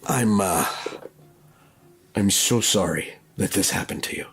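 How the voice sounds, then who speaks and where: A man playing an alarmed, paranoid school disciplinarian who questions haltingly and suspiciously. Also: fairly low pitch, fairly bright tone. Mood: awkward concern.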